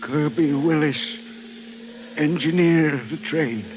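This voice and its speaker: An actor playing a guilt-ridden old railway man in an old-time radio mystery, halting and brooding. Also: fairly high pitch. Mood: haunted. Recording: dull, noisy vintage radio recording.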